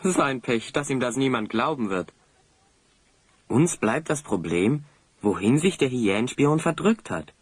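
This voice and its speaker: An earnest adventurer hero in a fantasy audio play. His voice is medium-pitched and polite, recorded very dry and close. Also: expressive, unhurried, slightly rough, no echo. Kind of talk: German audio drama acting.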